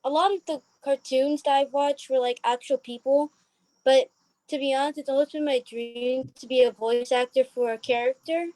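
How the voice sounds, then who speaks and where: A woman's voice: high-pitched, steady tone, moderate pace, darkish tone, dry recording, rough recording. A young woman chatting casually as an interview guest, tentative and sometimes trailing off. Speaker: stage actress, interview guest. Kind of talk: casual chat.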